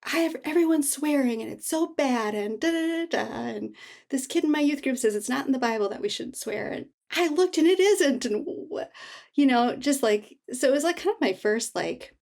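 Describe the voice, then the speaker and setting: A woman author being interviewed, telling personal stories in a candid, thoughtful, halting way. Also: high voice, animated, gravelly, darkish tone. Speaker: memoir author, interview guest. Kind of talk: casual, reflective personal storytelling.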